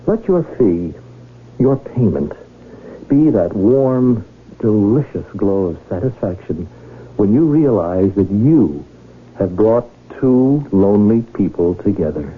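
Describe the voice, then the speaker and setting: Courtly, animated rich gentleman, tinny radio. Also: lowish voice. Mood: mock-earnest. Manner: formal, florid, deliberate speech. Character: lonely rich gentleman, shrewd, courtly and happily in love. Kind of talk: 1970s radio drama acting. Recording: tinny, noisy old radio broadcast.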